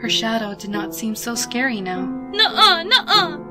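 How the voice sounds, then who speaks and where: A woman giving a hushed, expressive, dramatic reading of a suspense story, with music behind her. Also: medium pitch, moderate pace. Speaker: fan fiction reader.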